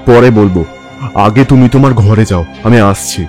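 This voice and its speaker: A man voicing a grave, urgent protector who warns of evil, dramatic and forceful, in a horror audio story. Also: lowish voice, moderate pace, faint music behind, close to an everyday voice. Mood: firm.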